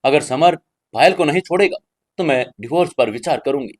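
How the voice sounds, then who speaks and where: A male narrator plays a young bride-to-be in an audio drama, wounded and proud. She speaks softly with stubborn resentment and holds her ground. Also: fairly high pitch, lively intonation, a little fast. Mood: resolute. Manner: soft-spoken, resentful.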